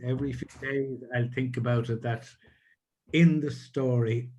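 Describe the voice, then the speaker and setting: Older man, irish accent, poet guest, measured and halting, video call from home. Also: medium pitch, fairly even intonation, a little mellow. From Ireland. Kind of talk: conversational interview. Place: home in Ireland.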